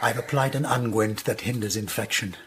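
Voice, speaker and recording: A man acting in a radio drama as a calm, caring Tudor physician. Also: fairly low pitch, a little fast, background noise, natural voice. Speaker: supporting actor in radio drama.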